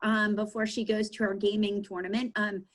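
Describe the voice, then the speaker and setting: A woman's voice: mid-range voice, little pitch movement, moderate pace. A woman moderating an online session, hesitant with many ums. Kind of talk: hosting a live chat.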